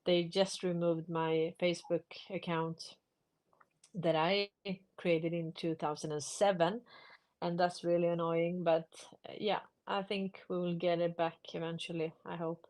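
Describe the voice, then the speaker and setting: A woman with a Swedish accent, a native Swedish speaker, hosting a casual unscripted discussion and explaining things with halting restarts. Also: on the low side, flat delivery, darkish tone. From Sweden. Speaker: live stream host.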